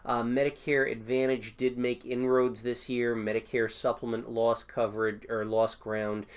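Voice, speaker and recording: A man's voice: mid-range voice, little pitch movement, normal speed, clear, resonant tone. A man getting over a cold, now and then coughing, hosting a casual question-and-answer video about insurance as an agent, thinking aloud with many fillers. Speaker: Medicare insurance agent host.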